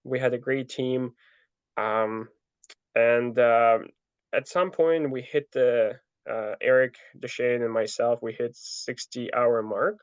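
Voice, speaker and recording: A young man telling his story in a relaxed interview, full of fillers and restarts. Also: medium pitch, takes it slowly, clear, resonant tone, no echo. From Ukraine. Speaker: guest, ultra trail runner. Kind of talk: casual interview answers. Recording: dull lo-fi dry sound.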